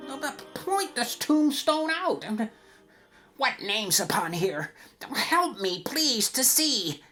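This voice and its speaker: A man voicing a fretful, pleading old miser in a storybook verse tale, with drawn-out imploring delivery. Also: very high voice, big pitch swings, bright, forceful tone, faint music behind, pitched above the performer's own voice, heavily acted.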